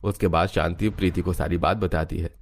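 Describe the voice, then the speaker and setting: A man's voice: low-pitched, fairly even intonation, fairly quick. A man narrating a scripted moral story as its storyteller.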